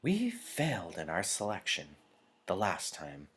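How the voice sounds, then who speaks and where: A man's voice: low voice, wildly swooping pitch, a bit bright, husky, full character voice. A man voicing a gracious, commanding female chief elder who addresses a crowd formally in an audiobook reading. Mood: solemn. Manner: formal, measured, solemn.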